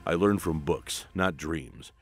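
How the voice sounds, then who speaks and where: A man voicing a proud, distrustful young king in a clipped, measured manner, for a comic-book retelling. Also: a bass voice, soft background music, pitched below the performer's own voice, heavily acted. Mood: curt, defiant.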